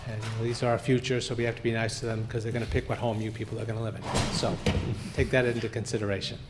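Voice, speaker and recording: A man addresses a town board during public comment, pausing often on fillers as he goes. His delivery is flat and even. A faint noise sits under the recording of the meeting room. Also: lowish voice. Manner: halting, many fillers.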